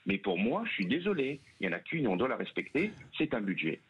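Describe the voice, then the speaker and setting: A man's voice: mid-range voice, sing-song, fairly quick, a bit bright, slightly rough. A man calling a radio show, giving an earnest opinion in short, emphatic phrases. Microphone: telephone. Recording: muffled, band-limited phone call.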